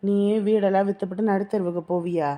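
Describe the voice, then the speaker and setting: An actress playing a scheming young sister-in-law who talks bluntly and persuasively. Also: female voice, mid-range voice, fairly even intonation, fast talker, warm tone, close to an everyday voice.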